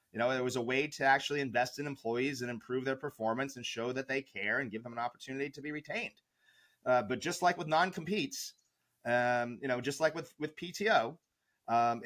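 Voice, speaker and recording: A man's voice: mid-range voice, fairly bright tone. A man interviewed as a guest expert who speaks passionately and argumentatively, with many fillers. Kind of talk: interview answers.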